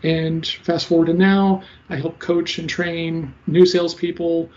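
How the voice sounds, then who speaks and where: A man in his thirties who talks fast and stutters a little, giving casual interview answers as a sales coach. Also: on the high side, level tone, a little mellow. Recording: slightly dull lo-fi audio.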